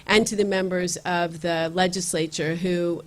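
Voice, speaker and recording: A woman's voice: lowish voice, steady tone, unhurried. Earnest woman giving a campaign speech. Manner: earnest, with frequent fillers and restarts. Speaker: county legislator. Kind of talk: campaign speech at community meeting. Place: community meeting room.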